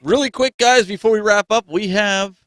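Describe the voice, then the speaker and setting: A man's voice: sits high, moderate pace, fairly bright tone, no room sound. Casual male co-host, very dry sound. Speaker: co-host of a gaming news show. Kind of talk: casual chat.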